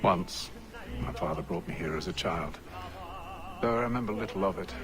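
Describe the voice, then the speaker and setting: An actor playing a curt but courteous period gentleman in a game cutscene. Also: low-pitched, moderate pace, music in the background, natural voice. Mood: composed, polite. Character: composed, curt, courteous 18th-century English gentleman. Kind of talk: video game cutscene acting.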